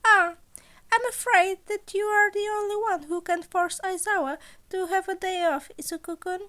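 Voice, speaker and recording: A high, lifted voice for a scheming principal creature played by a woman in a fan fiction story. The delivery is polite and amused, with a sly show of innocence. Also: fairly even intonation, moderate pace, bright, forceful tone. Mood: amused, matter-of-fact.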